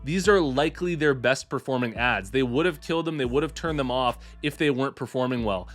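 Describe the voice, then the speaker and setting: A man with a Canadian accent hosts a quick online tutorial, upbeat and slangy with a salesy push. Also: medium pitch, a bit bright, faint music behind. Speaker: host. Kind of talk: fast tutorial presenting.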